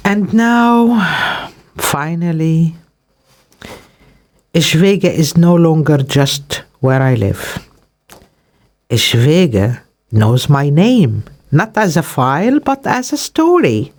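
A man reciting spoken word poetry in a deliberate, declamatory way, in short phrases with pauses between them. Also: a little high, big pitch swings, darkish tone.

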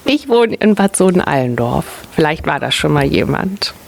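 A woman's voice: sits low, sing-song, normal speed, creaky, slight background noise. A woman in her thirties, a city family-office worker, patiently answering children's questions in simple words, often repeating the question back first. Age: 30s.

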